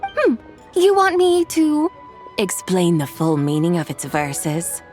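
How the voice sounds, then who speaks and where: A woman's voice: lowish voice, huge pitch swings, a little mellow, soft background music, heavily acted. A woman voicing a regal, youthful-seeming ancient immortal who speaks formally and haughtily in a video game. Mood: amused, haughty. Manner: formal, haughty, measured recitation. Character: lofty ancient female immortal in youthful form, curious, regal. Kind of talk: video game cutscene acting.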